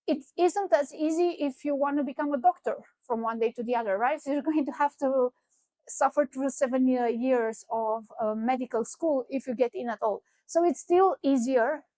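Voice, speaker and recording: A high-pitched middle-aged woman with a Romanian accent speaks at a conference, loose and conversational, often pausing on fillers. The sound is dry and close, with little room echo. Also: warm tone. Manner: conversational, with frequent fillers and restarts. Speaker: keynote speaker. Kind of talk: conference talk. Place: conference venue.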